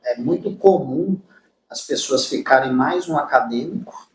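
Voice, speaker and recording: A Brazilian man with a Brazilian accent hosting a podcast in a casual, informal, somewhat halting conversational way. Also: mid-range voice, lively intonation. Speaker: podcast host. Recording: roomy echo, ambient background noise.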